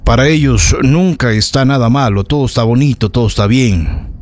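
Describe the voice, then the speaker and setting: A man's voice: medium pitch, normal speed, a little mellow. A man with an Ecuadorian accent hosting a local radio programme, giving indignant, emphatic commentary to listeners and repeating phrases for effect. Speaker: local radio news host. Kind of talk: live opinionated radio commentary, addressing listeners.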